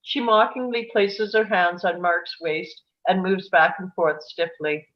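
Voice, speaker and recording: A woman's voice: on the low side, fairly even intonation, a little slow, dull audio. A woman reading stage directions as scripted narration at a live table read.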